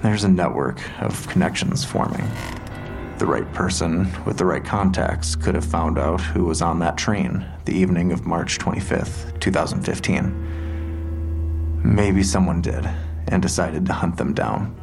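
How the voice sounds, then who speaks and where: Gravelly, very deep-voiced police detective. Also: male voice, music in the background. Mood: measured, pressing. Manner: measured, probing, terse questioning. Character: stern male police detective pressing a suspect. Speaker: police interrogator. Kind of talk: full-cast audio drama acting.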